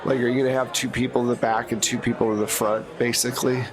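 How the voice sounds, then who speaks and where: A man's voice: medium pitch, level tone, fast talker. Man running a tabletop game, crowd behind. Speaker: game master.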